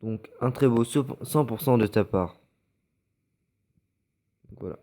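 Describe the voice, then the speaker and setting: A man's voice: medium pitch, slow pace, dark tone. A man with a French accent, stuffy from a cold, giving casual, hesitant commentary over recorded game matches with many fillers and restarts. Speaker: gameplay commentator.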